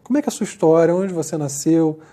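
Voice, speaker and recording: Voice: medium pitch, expressive, fast talker, mellow. A TV interviewer asking chatty, admiring questions of a guest.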